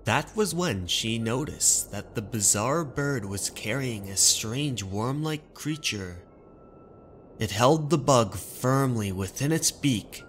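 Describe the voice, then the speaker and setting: A man's voice: mid-range voice, expressive, takes it slowly, a bit bright, a little hiss or hum. A man delivering scripted narration of a horror story as narrator and host.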